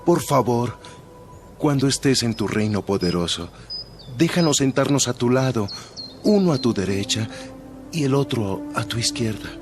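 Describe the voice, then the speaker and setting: A man acting an eager disciple, animated and entreating. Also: mid-range voice, moderate pace, long pauses, soft background music, close to an everyday voice. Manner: earnest, entreating. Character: eager male disciple humbly asking a favor. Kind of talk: dramatized audio Bible acting.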